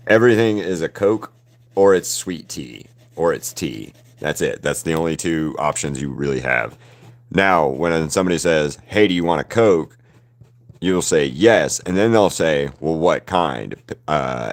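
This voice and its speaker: A man in his thirties with a mild Southern US accent, chatting loosely and rambling while he paints live, cursing casually. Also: rumbling low. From Southern United States. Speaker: artist streaming live.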